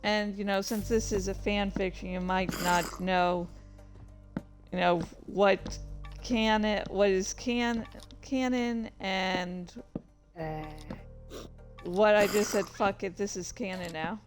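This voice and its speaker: A woman's voice: medium pitch, steady tone, slow, soft background music. A woman chatting casually and hesitantly, thinking aloud as she gives meandering commentary during a game. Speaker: fan fiction author and streamer. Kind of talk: casual rambling commentary while playing a game.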